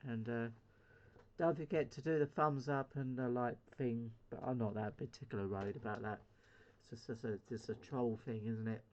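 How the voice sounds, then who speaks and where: A man's voice: mid-range voice, steady tone, moderate pace. Rambling British man, dull lo-fi sound. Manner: rambling, hesitant, self-deprecating. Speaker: CB radio hobbyist and video host. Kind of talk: casual hobby vlog chat.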